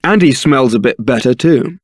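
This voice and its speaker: A man's voice: medium pitch, animated, normal speed, no room sound, close to an everyday voice. A man playing a suave, sardonic young templar knight and roguish ladies' man in a fantasy video game, with a dry, theatrical delivery. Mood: wry, teasing.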